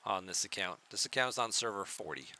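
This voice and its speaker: Soft-spoken, bright-toned male streamer. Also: fast talker. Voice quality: soft-spoken, low volume. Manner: relaxed, chatty, answers chat questions. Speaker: game streamer. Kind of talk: casual live-stream chat with viewers.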